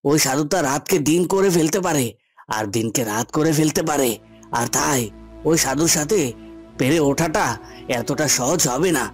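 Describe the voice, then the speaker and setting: A man playing a scheming, hot-tempered palace villain in a cartoon fairy tale, speaking in deliberate phrases with emphatic repetition. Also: fairly high pitch, animated, soft background music. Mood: brooding, calculating. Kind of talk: Bengali cartoon drama.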